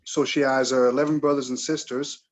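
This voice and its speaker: A man in his forties from England, answering interview questions in a measured, reflective way that halts now and then. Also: medium pitch, level tone. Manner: measured, reflective, halting at times. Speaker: guest.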